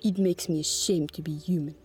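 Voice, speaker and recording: A man's voice: on the high side, fairly even intonation, brisk, a little mellow, slightly rough, soft background music, voice pushed up. A man voicing a woman witch hunter speaking with barely contained fury. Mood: angry.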